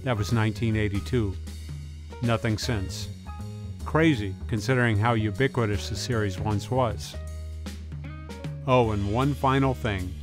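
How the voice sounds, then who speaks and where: A man's voice: fairly low pitch, a little slow, pauses often. A man reading scripted documentary narration in an informal, folksy way. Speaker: video essayist, narrator. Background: music bed with guitar.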